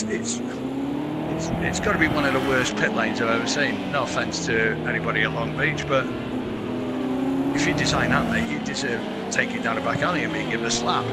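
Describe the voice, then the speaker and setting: A man with a Mancunian accent giving blunt, chatty live commentary on a sim race and talking straight to the viewers. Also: medium pitch. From Manchester, England. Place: home room. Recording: loud game engine noise behind. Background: race car engines.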